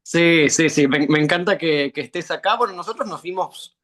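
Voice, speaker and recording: A man's voice: on the high side, moderate pace, fairly bright tone. A man with an Argentine accent hosting an interview over a video call, friendly and hesitant with many fillers. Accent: Argentine Spanish. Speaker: interview host.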